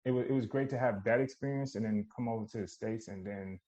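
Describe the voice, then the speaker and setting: A man's voice: fairly low pitch, fast. A man from Nigeria, a podcast guest, talking casually and reflectively with lots of fillers. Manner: relaxed, reflective storytelling with many fillers.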